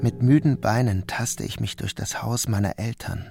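A man narrating a literary first-person story as an audiobook reader, in a calm, even manner. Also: fairly low pitch, normal speed, gravelly, music in the background.

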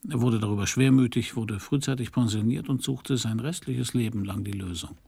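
A world-weary private detective in a radio noir. His voice is husky and full of gravel, at a middling male pitch, and he talks in a dry, laconic, sardonic way. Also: a little fast, warm tone. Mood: wry, brooding. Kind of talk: German radio crime play narration and dialogue.

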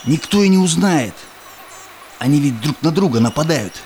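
An actor playing a greedy, threatening Cossack tax collector in an audio drama, speaking in theatrical verse. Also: male voice, fairly high pitch, expressive, normal speed, a little mellow, a little hiss or hum. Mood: sly, scornful.

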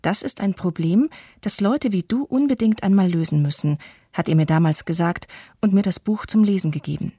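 Woman reading as a guilt-ridden woman reflecting in a radio play, mellow husky voice, measured, dry recording. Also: on the low side, dry recording. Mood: reflective, regretful. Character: guilt-ridden adult woman confessing lifelong fear and inaction. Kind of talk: scripted radio documentary narration.

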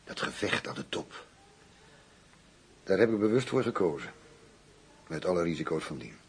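A man's voice: low-pitched, sing-song, moderate pace, slightly rough, exaggerated voice. An actor playing a driven, self-assured male police investigator in a radio drama, speaking emphatically and reflectively. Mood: intense, confiding.

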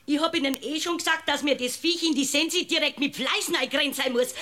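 A woman's voice: high-pitched, brisk, crowd noise, natural voice. An actress playing an indignant, gossipy village woman with a Bavarian accent in a comic radio play, talking on self-righteously. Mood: defensive, indignant. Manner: voluble, self-righteous, complaining. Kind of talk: comic radio courtroom drama.

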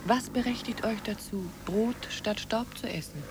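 An actress playing a stern, accusing questioner in an old radio play. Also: female voice, mid-range voice, normal speed, close to an everyday voice. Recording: noisy old radio tape, aircraft drone.

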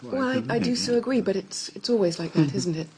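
Earnest young Englishwoman, lightly pitched played by an actress. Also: expressive, brisk, crowd noise, natural voice. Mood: polite, stoic. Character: quiet, earnest, rather nervous young Englishwoman. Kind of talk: radio mystery drama.

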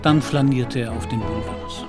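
A man's voice: medium pitch, moderate pace. A man giving scripted literary narration in a German radio play. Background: music behind the narration.

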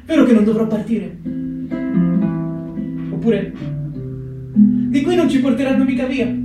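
An actor playing a compassionate wartime camp letter writer, in an expressive theatrical reading with dramatic pauses. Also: male voice, high voice. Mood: sorrowful. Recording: echoey hall, distant mic, loud guitar. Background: live plucked guitar music.

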